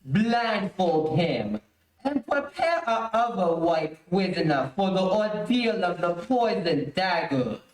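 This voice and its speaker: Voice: medium pitch, big pitch swings, takes it slowly. An actor playing an imperious, stern rebel prince in an old radio serial, declaiming commands. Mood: stern, commanding. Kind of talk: 1930s radio serial acting. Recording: echoey room, distant microphone.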